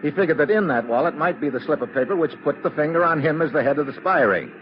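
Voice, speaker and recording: A man acting a hard-boiled American investigator in an old radio drama. His delivery is clipped and wry, with very lively swings in pitch. The recording is muffled and clearly noisy. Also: quick pace, close to an everyday voice. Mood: cool explaining. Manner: clipped, wry, tough-talking. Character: tough, wry male government investigator. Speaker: lead actor in a detective radio serial.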